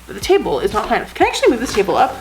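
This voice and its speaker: A woman's voice: fairly high pitch, huge pitch swings, rapid-fire, soft background music, rough recording. Chatty self-deprecating woman vlogging at home. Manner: rambling, chatty, lots of "like", self-deprecating asides. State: sniffly. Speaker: beauty vlogger. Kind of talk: casual self-deprecating chat to camera. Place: basement room at home, indoors. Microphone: camera on a desk with ring light.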